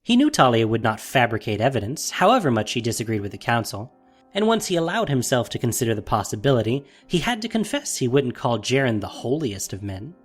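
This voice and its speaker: A man narrating a scripted audio drama in an expressive, theatrical manner. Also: mid-range voice, a little fast, slightly rough, faint music behind.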